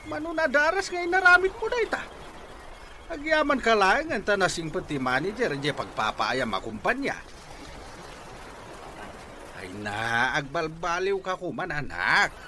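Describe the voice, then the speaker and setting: Male actor scolding elderly dad, radio drama, bright forceful voice, lively pitch, faint noise. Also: measured pace, long pauses, heavily acted. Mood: stern, scolding. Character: scolding elderly father lecturing his drunkard grown son. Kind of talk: Ilocano radio comedy drama acting.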